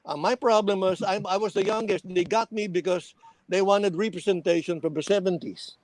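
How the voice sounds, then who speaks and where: High-voiced older Filipino man telling stories. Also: no room sound, rough recording. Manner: animated quoting of dialogue, halting recall. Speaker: former radio DJ and program director, guest on a reunion show. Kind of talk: casual reminiscing and storytelling.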